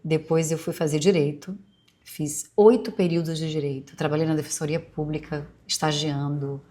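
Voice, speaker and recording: Low-voiced Bahian woman musing aloud. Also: steady tone, normal speed, mellow. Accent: Brazilian Portuguese, Bahian softened by years in Rio. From Brazil, Bahia. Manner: meandering, confiding, full of fillers and asides. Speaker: podcast host. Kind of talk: reflective personal monologue.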